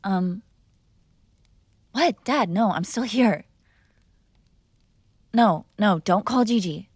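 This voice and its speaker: A woman's voice: medium pitch, takes it slowly, darkish tone, breathy edge, no echo, clean studio sound. A young woman performing an audio roleplay as a defiant, sarcastic mob boss's daughter arguing with her father. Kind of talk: scripted ASMR girlfriend roleplay.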